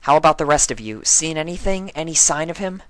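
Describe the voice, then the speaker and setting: A young cartoon unicorn mare played by a man, raised well above a natural male pitch. She is earnest and take-charge, with a worried, pleading edge. The delivery is bright and emphatic. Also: animated, a little fast, clear, resonant tone, dry recording. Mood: urgent. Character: earnest, anxious young female unicorn pony, bossy but caring.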